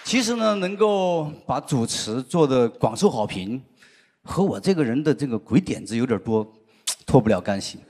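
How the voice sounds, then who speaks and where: A middle-aged man doing a stand-up routine on a studio stage into a handheld mic, telling stories with lots of drawn-out "ah" fillers. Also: mid-range voice, breathy edge. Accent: Sichuan. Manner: lively storytelling with frequent "啊" fillers. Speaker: stand-up comedian. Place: large indoor studio stage with audience. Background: audience laughter.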